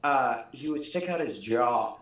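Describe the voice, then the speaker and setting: A man chats casually as a co-host, with a mid-pitched voice. He explains eagerly and conversationally, and the room gives his voice a clear echo. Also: lively intonation, a little slow, a bit bright. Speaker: podcast co-host. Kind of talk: casual chat. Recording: roomy, echoing sound.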